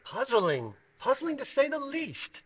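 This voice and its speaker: A man's voice: very high voice, huge pitch swings, measured pace, fairly bright tone, full character voice. A man voicing a humble yet pompous comic sidekick in old-time radio style, with ornate, over-formal speech. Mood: puzzled. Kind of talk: live old-time radio character acting.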